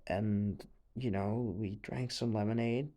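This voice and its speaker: A young man playing an anxious, haunted teenage boy in an audio drama monologue, speaking haltingly with stammers, sighs and a creaky voice. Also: lowish voice, fairly even intonation, dark tone. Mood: nervous, confiding. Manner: halting, stammering, sighing, nervous breathy laughs.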